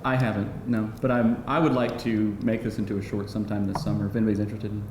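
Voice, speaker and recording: A man speaking casually as a screenwriter at a live Q&A, halting, joking and self-deprecating. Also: flat delivery, darkish tone. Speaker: screenwriter answering audience questions. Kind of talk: casual, unscripted Q&A talk. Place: live event room with audience. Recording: echoey live room, noisy rumble.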